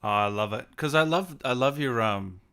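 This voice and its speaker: A man's voice: medium pitch, moderate pace, fairly bright tone, clean tone. A man hosting a casual streamed interview, talking informally and tagging his lines with little questioning asides. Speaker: host, interviewer.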